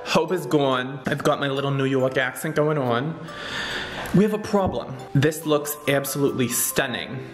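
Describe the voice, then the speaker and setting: A young man chatting in a campy, animated way as he decorates his living room, filming himself at arm's length. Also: mid-range voice, normal speed. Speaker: vlogger. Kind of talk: casual home decorating vlog. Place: large bright living room. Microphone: camera held at arm's length. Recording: roomy room with music behind.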